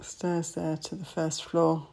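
A woman's voice: deep voice, monotone, slow pace, gravelly, a little mellow. A woman estate agent narrating a walkthrough of a house, listing its features matter-of-factly in short phrases. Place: inside an old house.

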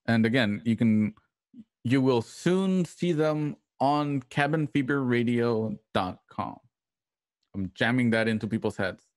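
A man's voice: medium pitch, expressive, unhurried, no echo. Man hosting a livestream, close and dry. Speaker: host. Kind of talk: signing off an online livestream. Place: home room on video call. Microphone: close microphone with headset.

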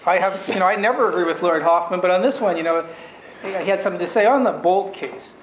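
A man's voice: sits high, fast, a little hiss or hum. A man on a conference law panel, talking bluntly and emphatically and firing off rhetorical questions. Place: conference room. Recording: roomy echo.

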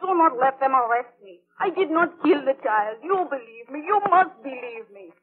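A frightened young servant woman pleads and protests her innocence in a high voice. The sound is tinny and narrow, like an old 1930s radio transcription. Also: lively intonation, moderate pace. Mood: pleading. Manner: pleading, defiant. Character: frightened young female servant accused of murder. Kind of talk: 1930s radio drama acting.

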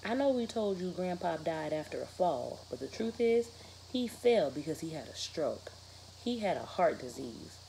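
A woman's voice: low-pitched, sing-song, normal speed, creaky, warm tone, a little hiss or hum, exaggerated voice, low-quality recording. A woman voicing a serious, firm mother of a teenage son in a novel read-aloud.

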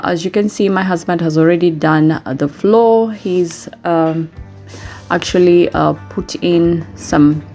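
A middle-aged woman narrating a casual home vlog in a halting, hesitant way, pausing often. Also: on the low side, a little mellow. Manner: hesitant, halting delivery with frequent pauses. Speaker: home renovation vlogger.

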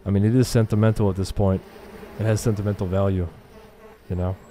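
A man's voice: on the low side, little pitch movement, brisk, mellow, slight background noise. A man commentating casually on his game livestream, chatty, slangy and a little hesitant.

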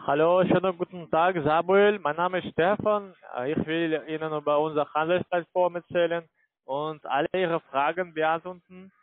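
A man's voice: a little high, fairly even intonation, moderate pace, dry recording. A man working as a call center agent, making a sales call by phone. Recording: dry narrow phone line.

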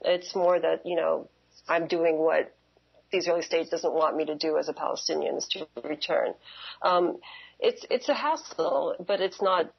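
Low, gravelly woman, hesitant and reflective. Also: normal speed, lo-fi sound. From Canada and Kuwait. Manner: hesitant, reflective, often rephrasing. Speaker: interview guest, museum professional. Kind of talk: conversational interview answers.

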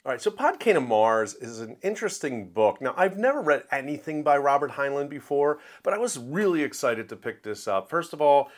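A man's voice: a little high, big pitch swings, fairly quick, few pauses, soft background music. A man with a Philadelphia accent giving a casual, conversational book review as a show host. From Philadelphia, USA.

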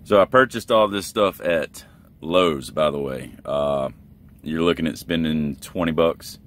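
A man's voice: extremely low pitch, measured pace, fairly bright tone, faint music behind. A man in his thirties, a truck driver, talking in a laid-back, folksy way in a casual how-to vlog. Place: inside a truck cab.

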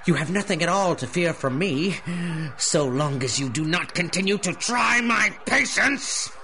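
An actor playing a pompous, menacing villain who lords over child thieves in a 1930s-style radio drama, speaking with mock courtesy. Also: male voice, high-pitched, lively intonation, unhurried, sharp tone, slight background noise, full character voice, rough recording. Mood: imperious. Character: theatrical villain Rat Lord ruling child thieves from a sewer.

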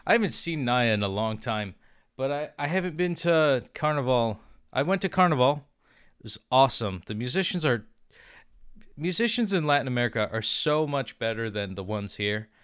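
A young man chatting casually on a live stream, jokingly boastful, reading chat aloud and trying out halting beginner Portuguese in a small home room on a close studio mic. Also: medium pitch, lively intonation, fairly bright tone, clean tone, dry recording. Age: young adult. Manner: jokey, playfully boastful, mixes in halting learner Portuguese. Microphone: studio mic on arm with headphones.

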